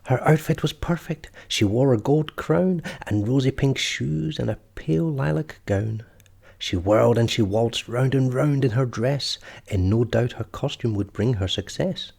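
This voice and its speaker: A man's voice: medium pitch, a little slow, vocal fry, darkish tone. A man reading a children's story aloud expressively, as storyteller and host.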